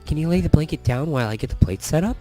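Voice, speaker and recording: A man voicing a sweet, eager, nervous young woman from a visual novel, with a strained, hoarse, girlish lilt. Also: fairly high pitch, animated, racing, warm tone, soft background music, voice pushed up, close to an everyday voice.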